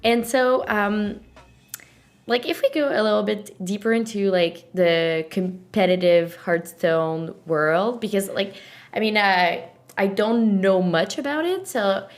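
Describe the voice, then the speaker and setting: Woman interview host, unhurried, halting and giggly delivery. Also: mid-range voice. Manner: giggly, hesitant, with many fillers. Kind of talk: casual interview questions.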